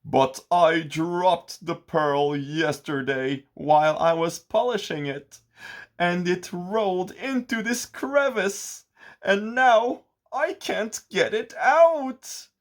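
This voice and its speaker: A man's voice: fairly low pitch, sing-song, takes it slowly, a bit bright, heavily acted. A man voicing a proud, kind male sea giant in a storybook reading, with a raised, carrying voice. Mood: proud, then sad.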